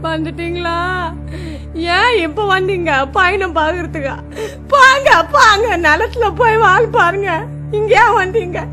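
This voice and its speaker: An actress gives voice to a heartbroken village mother, wailing and sobbing in a very high, piercing voice that drags slowly through each cry. A music score plays clearly underneath her lament. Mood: bitter reproach. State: crying. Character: grieving village mother mourning her dead son. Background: background music.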